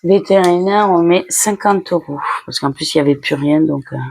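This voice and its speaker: A woman casually narrating each step as a budgeting vlogger, talking herself through it in short, halting phrases. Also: fairly low pitch, creaky, a little mellow. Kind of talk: casual step-by-step narration while handling cash envelopes.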